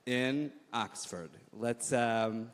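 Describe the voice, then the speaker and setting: A man with an American accent hosting an onstage conference interview, rambling and halting with many fillers. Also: mid-range voice. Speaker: host. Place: large hall on stage. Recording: reverberant hall, faint noise.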